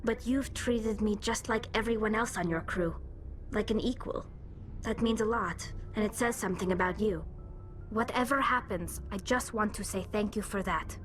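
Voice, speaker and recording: A woman's voice: medium pitch, moderate pace, fairly bright tone, faint music behind, natural voice. An actress playing a young, grateful female alien crew member in a video game, earnest and sincere in manner.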